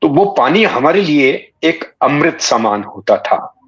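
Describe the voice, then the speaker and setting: A man in his sixties from Bikaner in Rajasthan, reminiscing in an interview with halting, deliberate phrasing. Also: fairly high pitch, sing-song. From Bikaner, Rajasthan, India. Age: 60s. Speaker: interview guest, writer and satirist. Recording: dull, muffled lo-fi audio.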